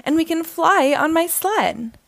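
A woman's voice: very high voice, expressive, a little slow, gravelly, no echo, voice pushed up, heavily acted. A woman voicing an eager, earnest, homesick young vampire girl from a children's storybook. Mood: excited. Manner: exclaiming, earnest.

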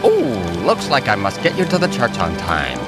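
An actor playing a menacing, theatrical criminal clown in an audio drama, with a taunting, drawn-out delivery. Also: male voice, on the low side, wildly swooping pitch, loud music behind the voice. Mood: sly menace. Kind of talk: audio drama character acting.

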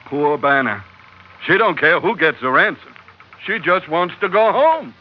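An actor playing a brash, slangy, loyal sailor sidekick in an old-time radio drama, with a wisecracking manner. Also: male voice, fairly high pitch, very animated, measured pace. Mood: brash, amused. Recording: dull, lo-fi, noisy old radio recording.

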